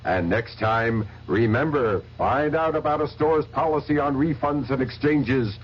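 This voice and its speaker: An actor playing a helpful, slightly officious consumer advisor in a radio advertisement, explaining things reassuringly. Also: male voice, medium pitch, a little slow, natural voice. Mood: instructive. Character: helpful, instructive male consumer-bureau advisor. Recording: dull, faintly noisy radio recording.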